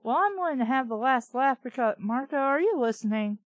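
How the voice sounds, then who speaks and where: A woman's voice: sits high, lively intonation, fairly quick, dry recording, muffled recording. A woman host reading fiction aloud and breaking in with sarcastic, irritated, profane commentary. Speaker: host of a bad-book reading show.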